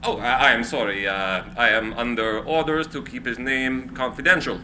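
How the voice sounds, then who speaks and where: A man's voice: mid-range voice, normal speed, pushed and bright, a little hiss or hum. A man acting an eccentric inventor in a live script reading, eager, courtly and theatrical. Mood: flustered, ingratiating.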